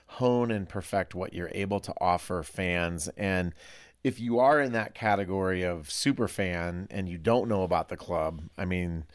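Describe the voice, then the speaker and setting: Male podcast host, lowish voice, casual interviewing, dry close sound. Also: normal speed, no echo.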